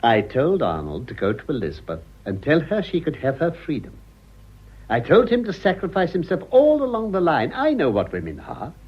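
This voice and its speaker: A man playing a smug, scheming English gentleman with a Received Pronunciation accent in a vintage radio drama, pompous and theatrical. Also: on the high side, huge pitch swings, moderate pace, husky, full character voice. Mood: gloating. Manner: pompous, self-congratulatory, theatrical. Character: smug, scheming upper-class English gentleman, pleased with himself. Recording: muffled old radio recording.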